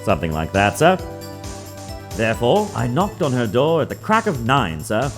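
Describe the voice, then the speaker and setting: A man's voice: medium pitch, wildly swooping pitch, normal speed. A man voicing a prim, obsequious head bellboy in a video game dub, overly formal and exact. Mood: precise. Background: music.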